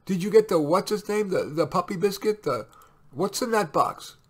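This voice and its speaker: A man voicing a fussy, impatient middle-aged wife who scolds her husband with rapid questions. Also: medium pitch, lively intonation, husky, voice pushed up. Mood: scolding.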